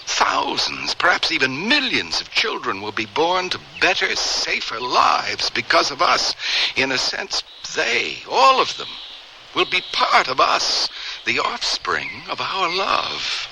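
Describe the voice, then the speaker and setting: A man's voice: on the high side, huge pitch swings, gravelly, sharp tone, slight background noise, exaggerated voice, poor audio. Ardent man, sweeping intense delivery. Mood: tender, earnest. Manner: intense, dramatic, deliberate. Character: earnest devoted male scientist, ardent lover and husband. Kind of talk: radio drama acting.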